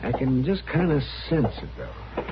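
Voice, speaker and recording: An actor playing a crusty but kindly older frontier doctor who grumbles and hems in an old-time radio western. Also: male voice, mid-range voice, big pitch swings, unhurried. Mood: grave, confiding. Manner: grumbling, hemming, folksy. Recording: muffled, noisy old radio recording.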